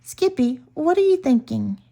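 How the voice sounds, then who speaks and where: Woman reading as a timid, animated baby dragon. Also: high-pitched, moderate pace, creaky, pitched above the performer's own voice. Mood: nervous. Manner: hesitant, worried, earnest. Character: timid, polite young male baby dragon.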